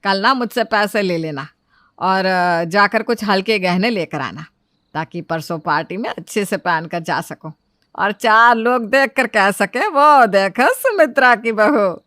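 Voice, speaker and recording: A woman's voice: mid-range voice, expressive, a little slow, fairly bright tone, no room sound, clean studio sound. A woman voicing an older, fussy, thrifty mother-in-law in a cartoon story, emphatic and matronly. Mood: fussy, doting. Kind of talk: Hindi cartoon story dialogue.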